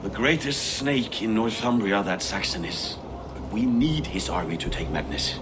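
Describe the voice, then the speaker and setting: An actor playing a stern, impatient Norse lord in a video game cutscene, curt and commanding. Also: male voice, fairly low pitch, expressive, normal speed, background noise, lightly acted. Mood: scornful. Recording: music behind the voice.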